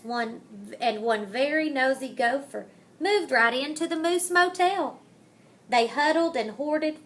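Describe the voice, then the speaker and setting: A woman reading a children's picture book aloud in a dramatic, deliberate manner, savoring the rhymes and wordplay. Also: high voice, a bit bright. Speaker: storybook reader.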